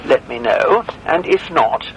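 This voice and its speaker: A man's voice: medium pitch, lively intonation, a little slow, slight background noise. A man on a phone call as a dental patient, speaking in a formal, old-fashioned and stern way with precise wording. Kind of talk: phone conversation.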